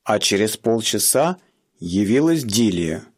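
A man narrating a radio play in a measured storytelling manner. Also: fairly low pitch, sing-song. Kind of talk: scripted radio drama narration.

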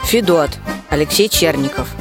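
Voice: medium pitch, normal speed. An announcer reading out a cast list in a scripted manner. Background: instrumental music.

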